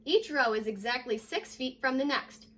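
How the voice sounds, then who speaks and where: A young American woman reading a children's story aloud like a teacher, addressing young listeners directly in a lively way. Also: sits high, normal speed, a little hiss or hum. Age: young adult. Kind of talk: children's read-aloud with short asides. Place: living room at home, indoors.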